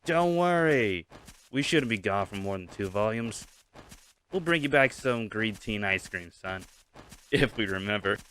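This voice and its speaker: Smug, cocky cartoon dude, male actor, lowish register, drawling pace, mock-scolding manner. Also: expressive, measured pace, a bit bright, a little hiss or hum, rough recording. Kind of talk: cartoon comic dub.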